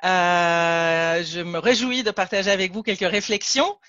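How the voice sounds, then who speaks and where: A woman's voice: on the low side, steady tone, unhurried, a bit bright, no echo. A woman from Ticino, Switzerland, whose native language is Italian, leads an online training webinar with many fillers and restarts. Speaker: trainer and consultant. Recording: dull lo-fi dry call audio.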